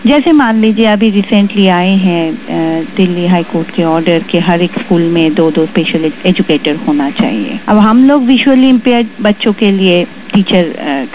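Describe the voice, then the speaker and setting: A woman's voice: medium pitch, darkish tone. Emphatic Indian woman, faintly noisy recording. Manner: emphatic, mixes in English phrases. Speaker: special education teacher trainer, guest. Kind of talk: interview opinion, spoken earnestly.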